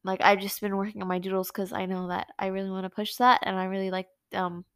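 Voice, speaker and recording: Congested soft-spoken teen girl. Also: mid-range voice, flat delivery, fast talker, clear, resonant tone, no echo, clean studio sound. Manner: soft-spoken, supportive, uses many fillers. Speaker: podcast co-host. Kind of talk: casual chat.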